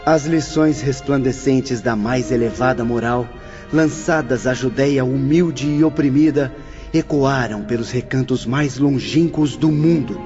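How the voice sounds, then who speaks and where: An actor with a Brazilian accent playing a gentle young spirit teacher, lecturing in exalted, eloquent phrases in an audio drama. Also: male voice, medium pitch, measured pace, lightly acted. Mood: exalted, reverent. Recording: dull audio with faint music.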